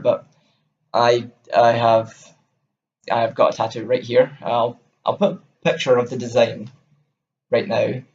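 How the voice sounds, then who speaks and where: A man's voice: mid-range voice, dull audio. A young Scottish man with a Scottish accent, enthusiastically rambling through a casual album review to camera. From Scotland. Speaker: music vlogger.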